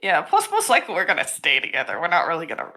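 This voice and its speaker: A young woman with a slightly deep voice for a woman, chatting and giggling a lot as a player in a tabletop game. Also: wildly swooping pitch, racing, bright, forceful tone. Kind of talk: casual chat while playing a tabletop game.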